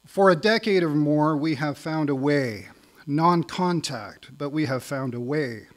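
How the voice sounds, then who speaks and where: A middle-aged Canadian man performing as a spoken word poet on stage, with a wry, deliberate delivery. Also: on the high side, expressive. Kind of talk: live poetry recital with casual lead-in.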